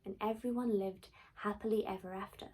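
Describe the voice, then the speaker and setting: A woman telling a fairy tale dramatically, with theatrical delivery and deliberate pauses. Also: mid-range voice, fairly even intonation, a little mellow, breathy edge, low-quality recording. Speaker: storyteller.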